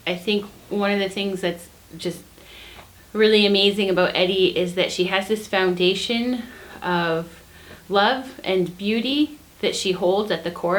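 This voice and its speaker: A woman co-hosting a book review, chatting casually and earnestly with emphatic enthusiasm. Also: mid-range voice. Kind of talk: casual book recommendation chat.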